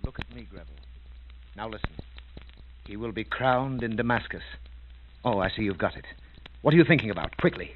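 Man as commanding investigator, low-voiced, vintage radio. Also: expressive, fairly quick, pauses often, close to an everyday voice. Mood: urgent, probing. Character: brisk, commanding British investigator, shrewd and urgent. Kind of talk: 1940s radio drama acting. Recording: narrow, tinny, hissy old radio recording.

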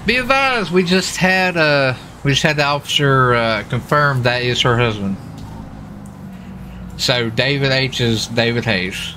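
A man's voice: mid-range voice, animated, measured pace, sharp tone, slight background noise. A man chatting casually in folksy, colloquial phrasing while roleplaying a lawman in a video game. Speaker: streamer playing a US marshal in GTA roleplay.

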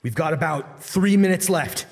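A man's voice: a little high, expressive, moderate pace, gravelly, in a large hall, pitched above the performer's own voice. A man voicing a cool, decisive young male sword fighter in an audiobook. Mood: urgent.

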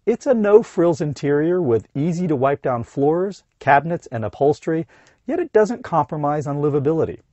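A middle-aged man with a hoarse voice from a cold, reading a scripted camper van review inside a van. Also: on the high side, brisk, no room sound, muffled recording. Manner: steady, informative, teleprompter reading.

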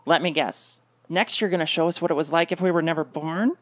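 A woman playing a jaded, cutting girlfriend with deadpan sarcasm in a comedic radio play. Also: sits low, fairly quick.

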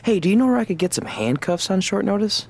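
A man's voice: high-pitched, fast talker, creaky, heavily acted. A man voicing a determined young male wrestler in a video game, giving an earnest speech to the crowd. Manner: earnest, declamatory ring speech. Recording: game audio with steady background noise.

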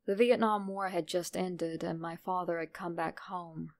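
A woman narrating a horror story in a measured, deliberate, dramatic way. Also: on the low side, fairly even intonation, darkish tone.

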